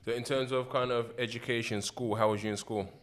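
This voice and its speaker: A man's voice: medium pitch, fairly even intonation, brisk. A man hosting a casual interview, laid-back and encouraging, answering with frequent yeahs. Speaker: interviewer, host.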